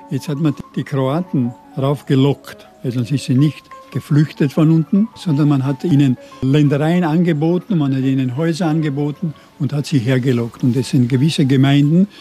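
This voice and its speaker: Older man, mellow, halting speech. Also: fairly high pitch. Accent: Burgenland Croatian-influenced Austrian German. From Burgenland, Austria. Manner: halting, many restarts and repeated words. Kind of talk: conversational interview answers.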